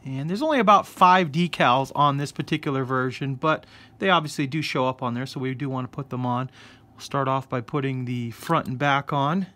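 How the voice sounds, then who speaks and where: A man hosting a casual scale-model painting tutorial, explaining each step in a relaxed way. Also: mid-range voice, brisk. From United States. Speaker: hobby shop host. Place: hobby workbench indoors.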